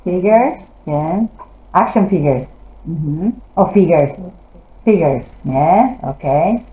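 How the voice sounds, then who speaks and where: A woman teaching English to children, encouraging them and gently correcting their answers in an interactive classroom lesson. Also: sits low, expressive, slow. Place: classroom, indoors. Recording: roomy, slightly dull classroom audio.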